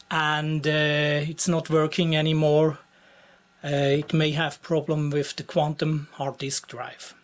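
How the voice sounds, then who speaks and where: A Swiss man with a Swiss German accent, a native Swiss German speaker, showing his retro computer collection in a slow, deliberate, pausing way. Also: fairly high pitch. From Switzerland. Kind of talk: show-and-tell narration.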